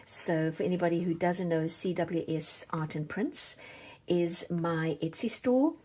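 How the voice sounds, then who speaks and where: A woman with a South African accent talks casually to her craft audience at a slow, easy pace. Her voice sits a little low, with a mellow, soft-edged tone. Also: a little hiss or hum. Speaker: craft channel host. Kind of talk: casual chat to viewers while doing a giveaway drawing.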